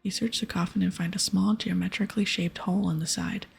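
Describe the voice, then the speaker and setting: A woman doing ASMR game commentary, reading aloud and chatting casually in a breathy whisper. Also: mid-range voice, steady tone, fast.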